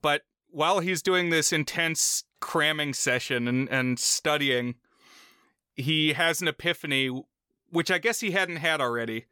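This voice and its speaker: Bright, clear-voiced American man discussing film. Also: on the high side, unhurried, dry recording, clean studio sound. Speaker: podcast co-host.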